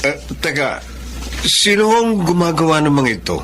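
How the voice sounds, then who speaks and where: A Filipino actor playing a polite, grateful gentleman visitor in a Tagalog radio drama, speaking in a courteous, measured manner. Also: fairly high pitch, big pitch swings, a little hiss or hum.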